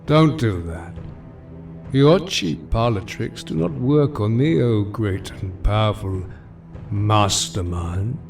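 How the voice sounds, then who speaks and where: A man's voice: lowish voice, animated, slow, creaky, darkish tone, soft background music, full character voice. A man playing a commanding, scornful supervillain in an audio drama, with a grandiose, menacing manner, heard from a distance. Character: commanding, scornful male supervillain recruiting followers.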